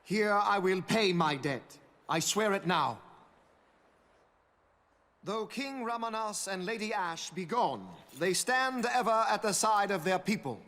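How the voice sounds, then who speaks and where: High-pitched, stately imperial consul. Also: male voice, expressive, fairly bright tone. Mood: solemn, rousing. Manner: stately, eloquent, measured oratory. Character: smooth, regal adult male imperial consul, eloquent and calculating. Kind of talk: formal theatrical game voice acting.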